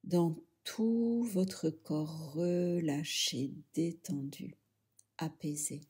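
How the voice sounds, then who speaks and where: A woman leading a guided yoga relaxation, speaking softly and soothingly in short phrases with pauses. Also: low-pitched, husky. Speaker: yoga nidra instructor.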